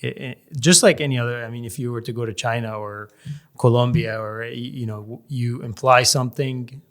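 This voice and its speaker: A man from Canada, a guest in a casual interview, talking in a measured way and often pausing to search for words. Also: mid-range voice, fairly even intonation. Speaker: podcast guest, real estate developer.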